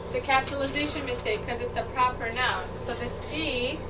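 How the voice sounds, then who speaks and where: A woman's voice: high voice, fairly even intonation, fairly quick. A woman teaching a class, patient and encouraging, asking the students questions. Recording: distant mic, noisy classroom.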